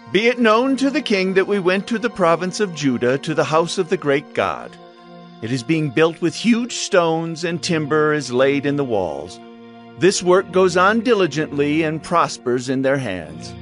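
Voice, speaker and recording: An actor playing an officious male provincial governor, formal and declamatory, in a dramatized scripture reading. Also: fairly high pitch. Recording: music bed behind the voice.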